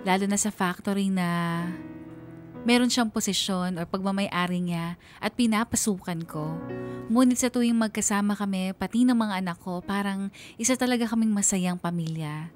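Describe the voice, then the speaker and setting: A woman's voice: mid-range voice, normal speed, close to an everyday voice. A woman playing an earnest, anxious mother who narrates her own story in a confiding way for a radio drama. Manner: heartfelt, confiding. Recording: music bed behind the voice.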